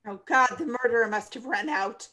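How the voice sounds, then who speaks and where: A woman's voice: a little high, fairly quick, bright, forceful tone. A woman playing a panicky, melodramatic woman guest in a comedy murder-mystery table read. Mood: panicked. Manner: exclamatory, melodramatic.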